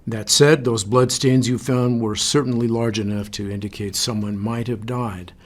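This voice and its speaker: An actor playing a firm but supportive agency director in an audio drama, measured and authoritative. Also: male voice, medium pitch, steady tone, natural voice. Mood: stern, concerned. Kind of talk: audio fiction drama acting.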